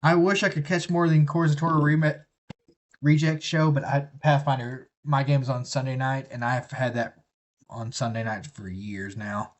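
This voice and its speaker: A man with a drawl rambles through loose, folksy chat on a live stream. He swears freely and drifts from one thought to the next, in a voice of middling pitch. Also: fairly even intonation, moderate pace. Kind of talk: casual rambling chat while building a kit.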